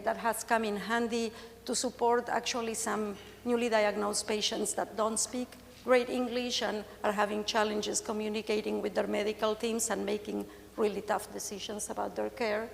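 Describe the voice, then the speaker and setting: Argentine woman in her 40s giving a talk, a bit of fry in her voice, upbeat and frank, echoey big hall. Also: mid-range voice, flat delivery, moderate pace, slight background noise. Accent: Argentine Spanish. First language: Spanish. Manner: candid, joking, upbeat. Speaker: patient speaker. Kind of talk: conference presentation. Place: stage in a large hall. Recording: long hall reverb.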